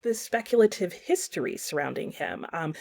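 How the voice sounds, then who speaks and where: Casting: female book reviewer, lowish pitch, informal and conversational, talking straight to viewers. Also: animated, normal speed, creaky, darkish tone. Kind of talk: casual chatty book review to camera.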